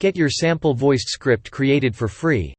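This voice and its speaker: A man's voice: mid-range voice, animated, unhurried, slight background noise. A synthetic text-to-speech male voice with an American accent, reading a scripted promotional dialogue. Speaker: promotional narrator.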